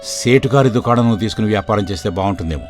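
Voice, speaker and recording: A man's voice: on the low side, rapid-fire, soft background music, natural voice. A man playing a poor older father in a village moral story, explaining things in a resigned way. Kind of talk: dramatized moral story for video.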